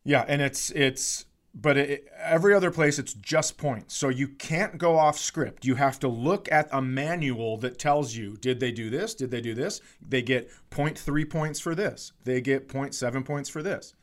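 A man's voice: medium pitch, normal speed, hardly any pauses. A middle-aged American man telling casual comedic stories as a podcast host, with a profane, exasperated ranting manner.

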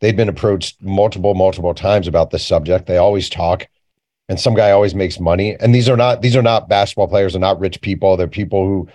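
A man's voice: low-pitched, darkish tone. A man speaking as an interview guest, telling stories casually and informally, often quoting bits of remembered conversation. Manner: informal, acts out remembered dialogue plainly. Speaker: guest, journalist and author.

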